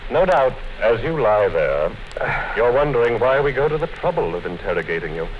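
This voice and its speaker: An actor playing a coldly patient interrogator. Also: male voice, mid-range voice, close to an everyday voice. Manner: calm, measured, coldly menacing. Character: cold, patient male party interrogator, middle-aged. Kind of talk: 1950s radio drama acting. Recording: dull 1950s radio broadcast with hiss.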